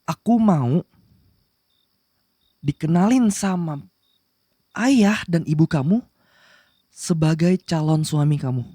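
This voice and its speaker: A woman's voice: sits low, slow, pauses often, warm tone, husky, dry recording, clean studio sound, full character voice. A woman playing a possessive, lovestruck young male demon prince, bossy yet flustered, in a romantic roleplay spoken to the listener. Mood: bargaining, firm. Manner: insistent and flustered, pleading between commands. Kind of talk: romantic ASMR roleplay acting addressed to the listener.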